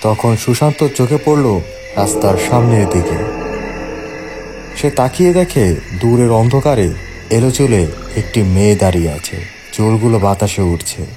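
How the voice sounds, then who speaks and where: A man with a lowish voice narrates a scripted ghost story. Soft music runs behind his narration. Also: lively intonation, measured pace, pauses often.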